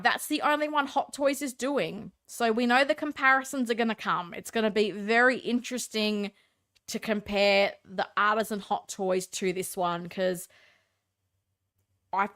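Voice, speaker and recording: A woman's voice: mid-range voice, fairly bright tone. A woman with a stuffed-up, congested voice hosts a casual live stream, chatting opinionatedly and answering viewer comments.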